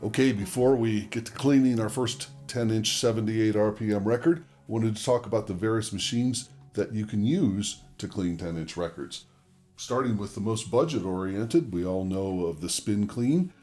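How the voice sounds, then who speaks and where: A man with a very low-pitched voice hosts an instructional demonstration. He talks conversationally and explains each option step by step. He speaks straight to the viewer in a methodical way. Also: moderate pace, a little mellow, soft background music. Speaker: presenter of a record-cleaning tutorial channel.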